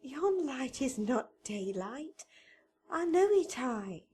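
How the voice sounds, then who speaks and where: An actress playing Juliet as a passionate young bride in a Shakespearean radio drama, speaking verse dramatically. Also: female voice, sits high, very animated, a little mellow, heavily acted. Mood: tender, pleading. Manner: slow, dramatic verse delivery.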